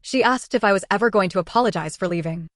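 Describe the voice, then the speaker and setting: A woman's voice: medium pitch, fast talker, no room sound, clean studio sound, natural voice. A woman voicing a weary, matter-of-fact brother. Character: weary, plain-spoken older brother.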